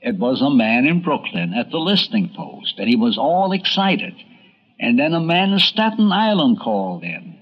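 A man's voice: medium pitch, big pitch swings, normal speed, breathy edge, lo-fi sound. An older man hosting a documentary, narrating from a script in a conversational storytelling way. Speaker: documentary host and radio columnist.

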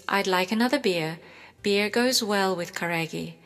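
A woman's voice: mid-range voice, slow pace, a bit bright. A woman reading scripted example sentences as the translator voice in a language lesson. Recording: reverberant, faint music behind.

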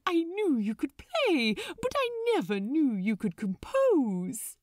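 A prim and affectionate male angel from a storybook, acted by a woman. He exclaims fussily in a high voice that leaps about. The recording is dry. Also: huge pitch swings, slow, a little mellow, dry recording, heavily acted. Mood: delighted. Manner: exclamatory, fussy.

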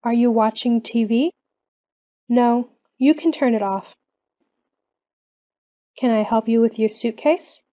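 A woman reading common questions and answers slowly for English learners. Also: on the high side, warm tone. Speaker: English lesson reader.

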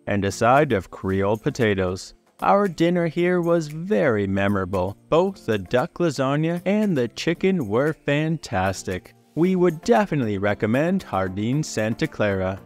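Lively man narrating a food tour. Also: medium pitch, a little slow, few pauses, soft background music. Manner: reads dish lists in a sing-song rising cadence. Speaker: travel vlog co-host. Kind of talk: scripted voice-over narration.

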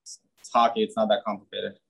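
Young male pro player, low-pitched, blunt and plain, restarts often; post-game webcam presser. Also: level tone, fast, creaky, fairly bright tone, slightly rough. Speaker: hockey player. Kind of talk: post-game media interview. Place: team media room, press backdrop, indoors.

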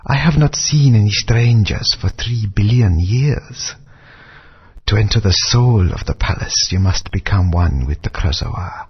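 An actor playing an ancient, solemn male spirit who speaks in a measured way. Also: fairly low pitch, lively intonation, breathy edge, exaggerated voice. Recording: dull lo-fi game audio.

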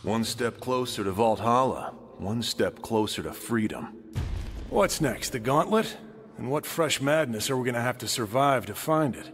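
A man playing a wry, wistful, world-weary survivor in a video game, musing conversationally in a husky voice. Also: medium pitch, normal speed, music in the background, lightly acted. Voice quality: husky, rough-edged. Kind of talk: video game cutscene acting.

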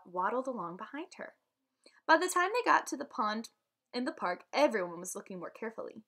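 A woman teacher reading a children's book aloud to students, with lively, expressive delivery and voices for the dialogue. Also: medium pitch.

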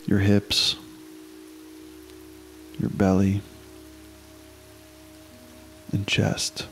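Male meditation guide, lowish voice, slow and unhurried with long pauses, music underneath. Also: monotone.

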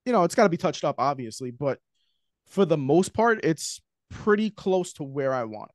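A man's voice: fairly high pitch, moderate pace, no echo. New York man, dry close sound. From Queens, New York. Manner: talkative, animated, gestures while talking. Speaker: guest screenwriter. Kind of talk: casual interview chat. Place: home room with shelves. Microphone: studio mic with headphones, video call.

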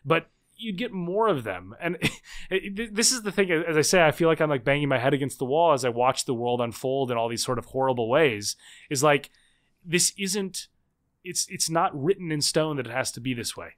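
A man in his thirties, a guest author and researcher, talking fast and animatedly with many restarts in a casual interview. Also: fairly high pitch, expressive, a bit bright. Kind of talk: casual long-form interview.

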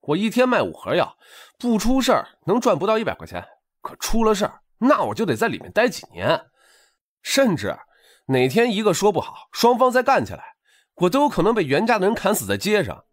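A man's voice: high voice, huge pitch swings, fairly quick, exaggerated voice. A man playing a tipsy, persuasive street hustler in an audiobook drama, earnest, coaxing and colloquial.

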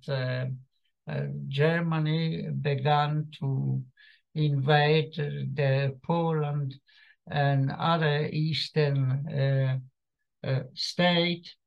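Slow, gravelly elderly Slovak-accented man. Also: fairly high pitch, steady tone. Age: 80s. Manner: halting, hesitant delivery with long pauses. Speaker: guest speaker, survivor giving testimony. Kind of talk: personal testimony talk. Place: small home room indoors. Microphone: computer mic on a video call. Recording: muffled, lo-fi video-call audio.

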